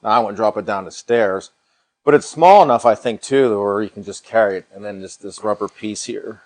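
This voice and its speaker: A man's voice: on the low side, normal speed, darkish tone, poor audio. A middle-aged American man hosting a paranormal livestream in a casual, folksy way, repeating himself as he shows a product.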